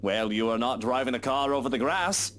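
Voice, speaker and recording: Brief: man plays a bossy, impatient rich husband in a game, curt orders, animated swinging delivery. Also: mid-range voice, a little fast, slight background noise, close to an everyday voice. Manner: curt, commanding.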